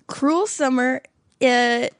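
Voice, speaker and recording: A woman's voice: high-pitched, extremely slow, dry recording, clean studio sound. A young woman co-hosting a podcast in casual chat, playful and joking with frequent laughter. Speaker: podcast co-host.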